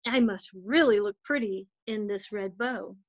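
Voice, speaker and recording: A woman's voice: medium pitch, measured pace, no echo, natural voice. A woman voicing a shy, sweet young girl in a storybook, earnest and full of wonder. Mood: happy wonder. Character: shy young girl, lonely, then gleeful and grateful.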